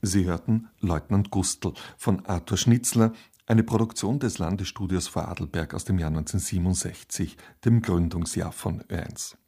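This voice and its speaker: A man's voice: low voice, normal speed, dark tone, breathy edge. A man reading scripted broadcast credits as a radio announcer.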